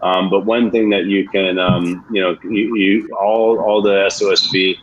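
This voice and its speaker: A very low-voiced American man talks bluntly and casually as an investor on a webinar panel, peppering his speech with "uh"s. His voice comes over a video call that sounds a bit muffled, with a faint hiss underneath. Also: steady tone, moderate pace. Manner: blunt, colloquial, many filler "uh"s. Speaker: venture investor.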